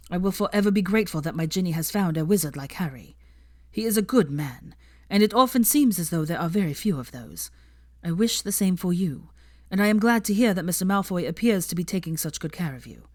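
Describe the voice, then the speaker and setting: A woman narrating a fanfiction audiobook in a calm, measured, formal way. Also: fairly low pitch, no room sound, clean studio sound. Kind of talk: audiobook-style fanfiction reading.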